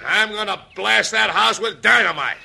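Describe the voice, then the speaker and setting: A man's voice: sits high, normal speed, creaky, pushed and bright, heavily acted. A man acting a ruthless, swaggering gang boss in an old radio western, with an American Western accent and blunt, menacing delivery, on an old recording. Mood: commanding.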